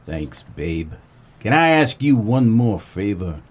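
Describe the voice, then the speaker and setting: Man playing a husky, low-voiced noir private eye. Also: slow, full character voice. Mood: cool, wry. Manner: deadpan, terse, slangy tough-guy delivery. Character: wisecracking hard-boiled 1940s private detective, deadpan tough guy. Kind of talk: comedic noir radio play, first-person narration and dialogue.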